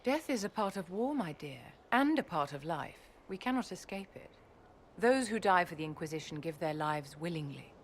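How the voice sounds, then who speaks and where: Actress playing a haughty, low-voiced court lady. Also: animated, moderate pace, a little hiss or hum, natural voice. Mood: grave, resolute. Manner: imperious, polished, condescending endearments. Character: haughty, elegant middle-aged court lady, coolly commanding. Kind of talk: video game character dialogue.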